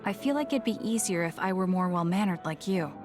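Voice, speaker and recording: A woman's voice: lowish voice, animated, fairly quick, darkish tone, soft background music, lightly acted. An actress playing a cool, blunt, determined young female soldier in a video game, reserved and matter-of-fact. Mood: reflective.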